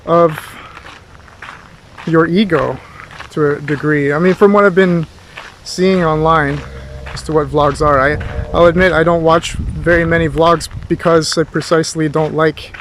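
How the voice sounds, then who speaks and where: A man giving a rambling, reflective philosophical monologue like a tutor talking to himself, halting as he searches for words. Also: fairly high pitch, a little hiss or hum, poor audio. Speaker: philosophy tutor and vlog host. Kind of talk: rambling, reflective monologue recorded while walking.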